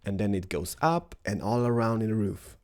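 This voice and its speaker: A man's voice: on the low side, animated, moderate pace, a little mellow. A man with a cold casually narrating a building game, thinking aloud and joking at himself. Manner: playful, thinking aloud, self-mocking. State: has a cold. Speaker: Minecraft building host.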